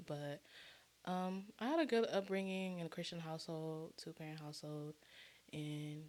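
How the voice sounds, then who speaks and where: Lower-pitched woman chatting as a guest. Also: moderate pace, a little mellow. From Orlando, Florida, USA. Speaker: podcast guest, hairstylist. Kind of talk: casual interview chat.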